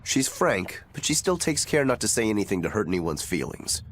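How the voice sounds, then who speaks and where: A man's voice: fairly low pitch, sing-song, moderate pace, a bit bright, a little hiss or hum. A man playing an easygoing, frank elder swordsman in a video game, casual and wry. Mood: admiring. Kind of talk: animated video game dialogue.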